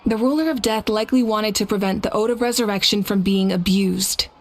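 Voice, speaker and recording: A woman acts out a resolute leader in a video game. Her voice sits at a middle pitch, and she speaks with composed, deliberate authority. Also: natural voice.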